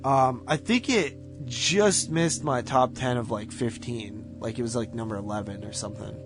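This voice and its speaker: A man co-hosting a movie podcast, reviewing films casually in a halting way, thinking aloud and qualifying as he goes. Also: mid-range voice, soft background music. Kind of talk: casual film review chat.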